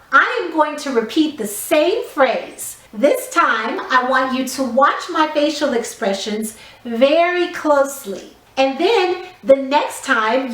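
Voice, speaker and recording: A high-voiced woman leads young children through a drama lesson. Her delivery is slow and very melodic, with carefully enunciated, expressive instructions. The room adds a noticeable echo. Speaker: children's theatre teacher. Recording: echoey room.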